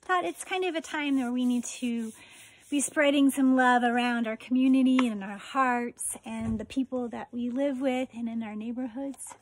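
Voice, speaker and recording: An American woman in her forties, a teacher reading a children's picture book aloud outdoors with deliberate, expressive phrasing. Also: fairly high pitch, slight background noise. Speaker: elementary school teacher reading a story. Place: outdoors on a residential deck.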